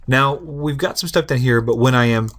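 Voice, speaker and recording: A young man in his twenties walks through code on screen in a loose, conversational way. He cracks dry jokes and plays down his own skill. His voice sits at an everyday middle pitch. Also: normal speed, a bit bright. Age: 20s. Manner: casual, joking, self-deprecating explainer. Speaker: programming tutorial host. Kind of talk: screen-recorded coding lesson.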